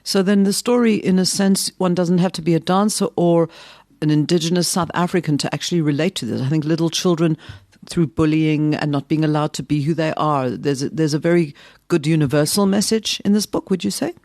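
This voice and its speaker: A woman's voice: low-pitched, brisk, darkish tone, no room sound, studio quality. Inquisitive female podcast interviewer. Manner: inquisitive, drops Afrikaans words into English. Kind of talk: interview questions.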